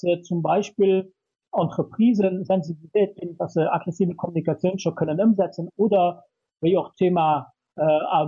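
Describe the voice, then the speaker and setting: A Luxembourgish man answers a radio interviewer and hesitates often, with many fillers. His voice sits a little high and sounds husky and mellow. The recording is dull and muffled. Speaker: guest from a communication center.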